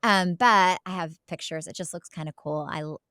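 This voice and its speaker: Middle-aged American woman, fairly low pitch, casual podcast chat, rambling and emphatic, close dry sound. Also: moderate pace, sharp tone, clear, resonant tone, no echo. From Oklahoma, United States. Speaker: podcast co-host. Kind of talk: casual chat, telling family anecdotes.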